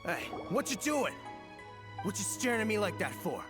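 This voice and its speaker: A man's voice: sits high, very animated, moderate pace. An actor playing a shady, rude male loiterer in a video game who snaps at people to go away. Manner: brusque, snapping at people. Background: game music.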